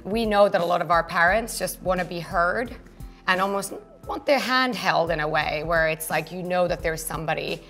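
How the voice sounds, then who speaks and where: A woman's voice: lowish voice, normal speed, fairly bright tone, faint music behind. Earnest Finnish-born woman explaining her business. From Finland. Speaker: co-founder and guest. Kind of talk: business interview conversation. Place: open-plan office, indoors.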